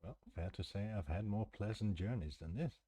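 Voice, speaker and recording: A man's voice: lowish voice, a little fast, dark tone, no room sound. A man giving casual let's-play commentary in a musing, understated way. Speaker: video game streamer. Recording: clear music behind the voice. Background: music.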